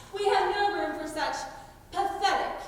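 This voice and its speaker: Bossy, mocking outlaw heroine, played by a young woman, high voice, theatrical stage delivery, recorded far off in a hall. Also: slow pace. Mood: proud, scornful. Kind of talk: high school stage comedy acting. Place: school auditorium stage. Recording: echoey hall, distant mic, noisy.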